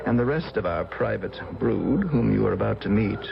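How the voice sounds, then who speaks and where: A man's voice: on the low side, lively intonation, moderate pace, vocal fry. A man acting a moody, sardonic young heir in a radio drama, with a wry, theatrical manner. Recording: dull, noisy radio recording.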